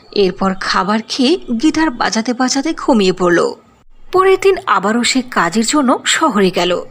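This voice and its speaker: A woman tells a cartoon fairy tale in a lively, sing-song way. Her voice sits a little high and has a gravelly, husky grain. Her pitch swoops up and down as she narrates.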